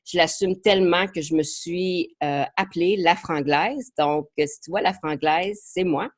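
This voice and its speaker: A woman's voice: on the low side, measured pace. A woman with an English Canadian accent whose first language is English, leading a live online training session as a marketing coach. She talks in a chatty way, slips English words into her French, and often hesitates and repeats words.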